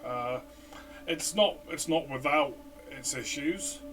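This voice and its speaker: A man's voice: mid-range voice, slow, a bit bright, recorded from a distance, slight background noise. A man co-hosting a casual game review chat, hesitant and full of fillers and restarts. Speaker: podcast co-host, player.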